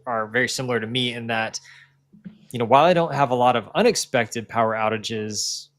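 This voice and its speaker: A man's voice: mid-range voice, fairly bright tone, no room sound, studio quality. A man on a livestream chat, chatty and filled with ums and asides.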